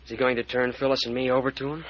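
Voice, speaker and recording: A man's voice: medium pitch, level tone, very fast, close to an everyday voice. An actor playing an earnest, devoted young man in old-time radio drama, boyish and attentive. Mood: eager. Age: young adult. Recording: dull, band-limited old radio recording.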